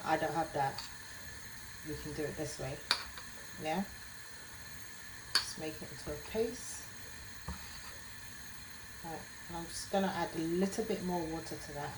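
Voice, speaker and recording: A woman's voice: low-pitched, background noise. A woman with a Jamaican accent, talking through a cooking tutorial in her kitchen, with a chatty habit of asking "yeah?" after most phrases. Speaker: home cook. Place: home kitchen.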